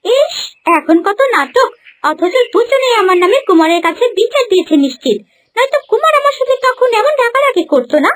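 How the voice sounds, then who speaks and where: A woman's voice: very high voice, sing-song, brisk, a bit bright, a little hiss or hum, heavily acted. A woman playing a haughty, scheming young princess bride in a fairy-tale cartoon, with an imperious manner. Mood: resentful.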